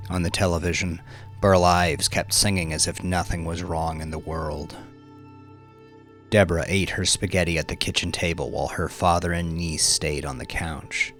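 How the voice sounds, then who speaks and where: A man's voice: low voice, fairly even intonation, moderate pace, fairly bright tone, faint music behind. A man narrating a story as a dramatic scripted audiobook reading.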